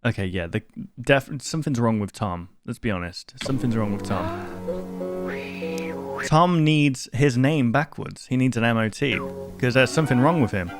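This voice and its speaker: A man's voice: medium pitch, animated, moderate pace, music in the background. A man hosting a reaction video, commenting casually and musing aloud in a rambling way.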